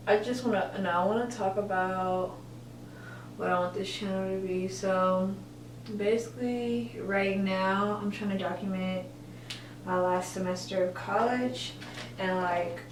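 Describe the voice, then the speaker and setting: A woman's voice: mid-range voice, fairly even intonation, a little slow. A woman in her twenties chatting casually while doing her makeup, rambling and correcting herself, with lots of filler words. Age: 20s. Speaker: college student vlogger. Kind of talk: casual get-ready-with-me chat. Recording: roomy echo, distant mic, faint hum.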